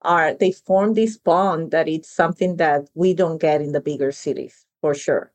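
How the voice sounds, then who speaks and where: Woman, podcast host, thoughtful, repeats words, lowish voice. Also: fairly even intonation, darkish tone. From Venezuela. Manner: thoughtful, halting, repeats words as she thinks. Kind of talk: podcast interview conversation.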